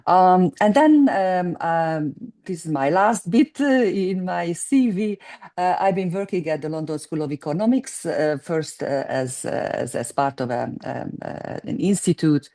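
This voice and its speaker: A woman in her sixties, a guest economist speaking in a halting way as she searches for words. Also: on the low side, expressive, dark tone. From Hungary. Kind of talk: conversational interview.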